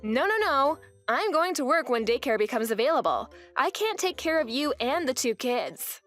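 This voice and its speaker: A woman's voice: high-pitched, sing-song, moderate pace, fairly bright tone, soft background music. Earnest young woman narrating a manga dub. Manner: earnest, weary storytelling. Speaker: narrator and wife.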